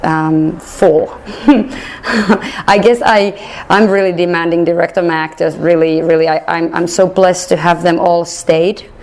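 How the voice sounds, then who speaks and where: A woman theater director speaking in a halting, earnest way and laughing often in a casual radio interview. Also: fairly low pitch, fairly even intonation, a little mellow.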